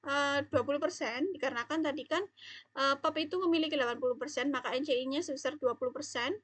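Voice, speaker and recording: A woman's voice: sits high, fast, a bit bright, dry recording. A young woman explaining material as a student presenter, somewhat hesitant. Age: young adult.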